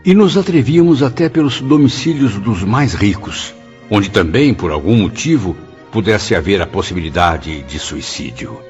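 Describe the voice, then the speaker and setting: An actor with a Brazilian accent playing a dignified spirit who speaks in a solemn, literary radio drama style. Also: male voice, on the low side, expressive, moderate pace, a little mellow, close to an everyday voice. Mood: solemn recollection. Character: dignified male spirit recounting his afterlife service. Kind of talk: dramatised first-person narration. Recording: dull lo-fi track, music bed.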